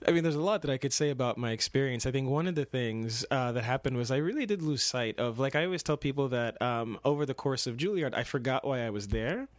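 A man's voice: mid-range voice, animated, fast, few pauses, dry recording. A young man from Kenya, an actor and dancer, talking through his path in a casual interview, rambling with many restarts and laughs.

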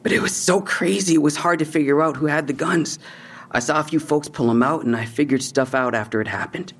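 Young male suspect for audio drama: husky, slangy, evasive, all defensive bravado over fear. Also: fairly high pitch, brisk, a bit bright. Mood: guarded. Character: defensive young robbery suspect, tough talk hiding fear. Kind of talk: naturalistic audio drama acting.

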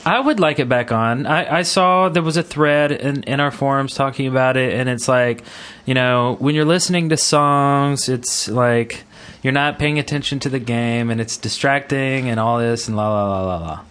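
A man's voice: mid-range voice, normal speed, a bit bright. A man co-hosting a podcast in a casual, joking, conversational way. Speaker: podcast co-host.

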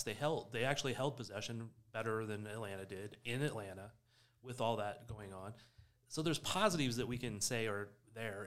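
A man's voice: fairly low pitch, a bit bright. A man in casual soccer discussion on a podcast, matter-of-fact and ready to argue his point. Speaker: sports podcast panelist.

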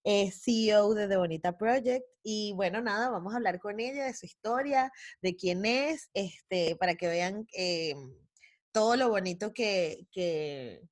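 A woman's voice: medium pitch, measured pace. A woman hosting a podcast, talking with eager enthusiasm and lots of fillers. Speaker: podcast host. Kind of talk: casual podcast chat.

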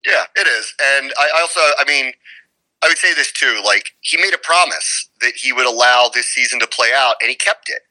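A man's voice: mid-range voice, animated, moderate pace, sharp tone, rough recording. A man giving conversational sports commentary as a radio guest, emphatic and opinionated, often posing rhetorical questions.

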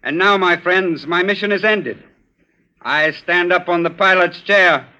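An actor playing an arrogant young Nazi agent in a 1940s radio thriller, speaking in a formal, clipped, declamatory way. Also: male voice, high-pitched, measured pace, bright, forceful tone, exaggerated voice. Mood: triumphant. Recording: muffled, noisy 1940s radio recording.

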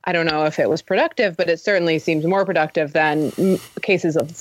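Woman guest on a podcast, kinda low voice, just chatting. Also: fairly quick, a little hiss or hum, low-quality recording. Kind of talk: casual discussion.

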